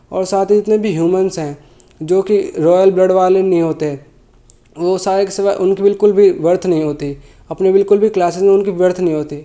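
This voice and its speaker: Man, sore throat, high voice, casual story recap, frequent self-corrections. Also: warm tone. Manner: loose, self-correcting, often rephrases himself mid-sentence. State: sore throat, feeling unwell. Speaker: manhwa recap narrator.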